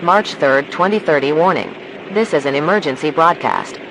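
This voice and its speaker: A man playing a calm, formal emergency broadcast announcer, reading measured disaster instructions in a scripted alert. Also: on the high side, heavy background noise. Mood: grave, instructive.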